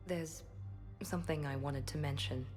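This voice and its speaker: An actress playing a composed, guarded woman operative in a video game, speaking in a measured, wry, restrained way. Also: sits low, fairly even intonation, a little mellow, soft background music, lightly acted. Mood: worried. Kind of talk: video game cinematic dialogue acting.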